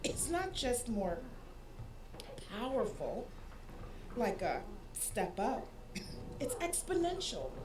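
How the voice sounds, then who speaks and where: An actress playing a gleefully cruel female fae soldier in an audio drama, taunting in a drawn-out sing-song. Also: on the high side, wildly swooping pitch, recorded from a distance, background noise, heavily acted. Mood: gloating.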